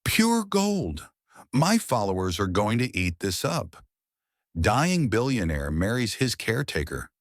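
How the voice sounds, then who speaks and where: A spoiled young female influencer in an audio drama story, performed by a man. The pitch jumps high above the narration, with a breathy, gloating, slangy delivery and a dry sound. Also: wildly swooping pitch, measured pace, no echo, exaggerated voice. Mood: gleeful, mean.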